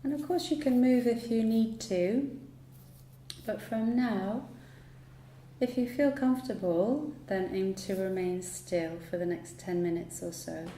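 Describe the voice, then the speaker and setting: A woman leads a guided yoga relaxation in a mellow, dark-toned voice. She gives each instruction soothingly and without hurry, pausing at length between them. The recording sounds roomy, with faint noise behind her. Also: mid-range voice. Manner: soothing, unhurried instruction with long pauses. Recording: roomy echo, light background noise.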